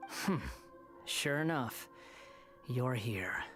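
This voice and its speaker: A sneering young ex-villain. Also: male voice, medium pitch, expressive, very slow, over loud music. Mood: mocking. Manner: sardonic, taunting. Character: snide young male former villain, mocking. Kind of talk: game character dialogue.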